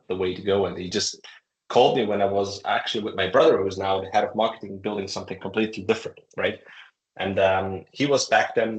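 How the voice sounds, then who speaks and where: A man, a startup founder, answering interview questions in a halting way, with many fillers and restarts. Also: low-pitched. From Croatia. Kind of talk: conversational interview. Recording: echoey room.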